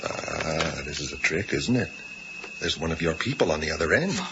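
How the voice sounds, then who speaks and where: An actor playing an agitated, paranoid psychiatric patient in a 1980s radio drama, halting and accusatory. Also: male voice, rumbling low, lively intonation, heavy background noise. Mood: tense, rattled. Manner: halting, accusatory, swinging into frantic outbursts. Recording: dull 1980s radio recording, music underneath.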